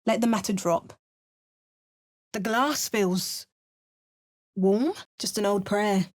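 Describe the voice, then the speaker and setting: A woman's voice: medium pitch, slow, close to an everyday voice. A woman voicing a wry, battle-hardened adult adventurer who makes dry, sardonic asides and narrates her journey. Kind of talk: video game character barks and narration.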